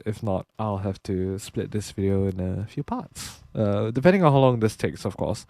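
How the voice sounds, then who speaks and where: A man's voice: lowish voice, darkish tone, dry recording. A man with a nasal voice and a sore throat hosting a gameplay video, rambling with many filler sounds. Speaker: gaming channel host. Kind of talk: let's-play commentary.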